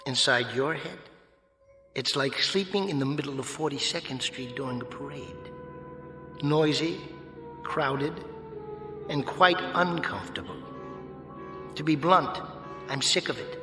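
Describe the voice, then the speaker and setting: An actor playing a jockey's urbane, mocking alter ego in a radio drama, speaking with polite, sardonic precision. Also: male voice, mid-range voice, expressive, a little slow, long pauses, reverberant, big-room sound, music in the background, lightly acted. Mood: smooth, mocking.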